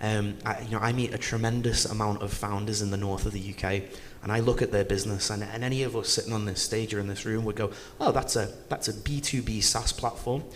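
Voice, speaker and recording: A man's voice: fairly low pitch, fairly even intonation, fast, few pauses, long reverb tail, a little hiss or hum. A man with a toned-down Liverpool accent, speaking as a panelist and sharing personal experience in a candid, anecdotal way. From northwest England. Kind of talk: conference panel discussion.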